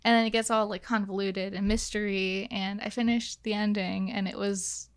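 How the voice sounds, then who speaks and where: A woman's voice: medium pitch, level tone, normal speed, clear, resonant tone. A woman guest on a podcast, chatting informally and giving her opinions. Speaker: podcast guest, game designer. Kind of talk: casual podcast chat.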